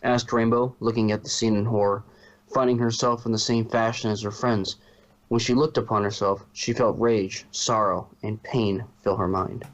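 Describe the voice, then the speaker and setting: A man's voice: lowish voice, fairly even intonation, moderate pace, highs cut off. A man reading a story aloud as its narrator. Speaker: fan fiction reader.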